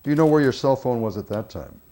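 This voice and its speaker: A man acting as a calm, persistent, skeptical police interrogator, probing in an understated film style. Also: mid-range voice, quick pace, close to an everyday voice. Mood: pressing, skeptical. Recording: faint background noise.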